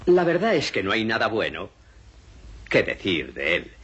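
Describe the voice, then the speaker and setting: An actor playing an exasperated, disdainful cello teacher in a film mock interview. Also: male voice, lowish voice, wildly swooping pitch, normal speed, a bit bright, slightly rough, lo-fi sound, full character voice. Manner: exasperated, emphatic.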